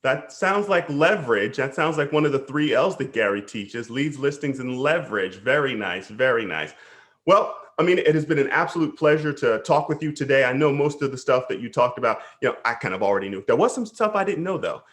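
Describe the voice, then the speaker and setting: A man's voice: mid-range voice, animated, brisk, a bit bright. A man hosting a casual interview as a coach, enthusiastic and affirming.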